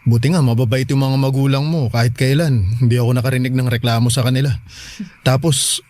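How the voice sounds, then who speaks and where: A man playing an earnest, anxious young father in a Filipino radio drama, speaking in a halting, pleading way. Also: medium pitch, fairly even intonation, a little mellow, natural voice. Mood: ashamed, troubled.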